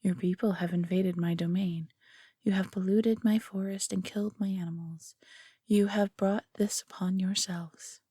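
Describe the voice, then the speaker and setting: A woman voicing a bitter, menacing spirit bound to a dark forest, grave and accusing, as in a folk tale read aloud. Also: mid-range voice, fairly even intonation, moderate pace, breathy edge, dry recording, studio quality, recorded from a distance, close to an everyday voice.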